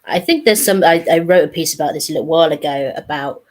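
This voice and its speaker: A woman's voice: low-pitched, quick pace. A woman in a casual panel discussion, talking in a reflective, conversational way.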